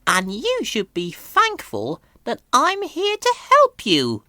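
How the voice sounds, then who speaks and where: A woman's voice: on the high side, wildly swooping pitch, slow, sharp tone, dry recording, clean studio sound, voice pushed up, exaggerated voice. A woman voicing a rude, proud old female steam lorry in a children's storybook, brusque and scolding. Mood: haughty, scolding.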